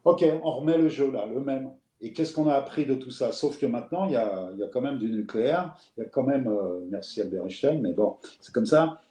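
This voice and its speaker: Parisian host, musing and rambling, mid-pitched, echoey room. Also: male voice, animated, a little fast, few pauses, dark tone. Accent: Parisian French. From France. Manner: rambling, reflective, many fillers and restarts. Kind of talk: casual live conversation. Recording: roomy echo.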